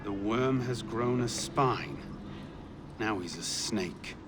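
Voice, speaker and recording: A man's voice: fairly low pitch, expressive, slow, noisy background. An actor playing a determined young male assassin, cool and wry, politely cutting, in a video game cutscene. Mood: menacing, scornful. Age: young adult.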